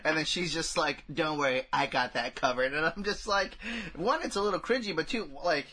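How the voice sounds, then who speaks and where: Male podcast co-host, raspy but bright, casual and meandering, talking movies with his buddies. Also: a little high, a little fast. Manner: rambling, crude humor, frequent "like" and "you know". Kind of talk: casual film discussion among friends.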